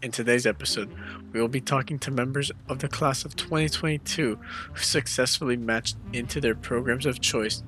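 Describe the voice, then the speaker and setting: A man's voice: mid-range voice, steady tone, brisk, pushed and bright, poor audio. A man reading a polished, scripted podcast introduction. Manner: polished announcing. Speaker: podcast announcer. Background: faint music.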